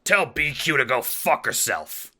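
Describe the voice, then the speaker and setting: A man's voice: mid-range voice, very animated, unhurried, pushed and bright, voice pushed up, exaggerated voice. A man voicing an angry, foul-mouthed gangster assassin with a snarling, defiant delivery. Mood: furious, defiant.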